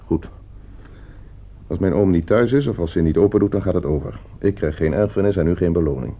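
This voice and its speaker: An actor playing a cool, scheming nephew in a radio drama, speaking in measured, precise and polite phrases. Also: male voice, low voice. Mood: businesslike, controlled. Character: cool, scheming nephew hiring a killer for inheritance. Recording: slightly dull radio play recording.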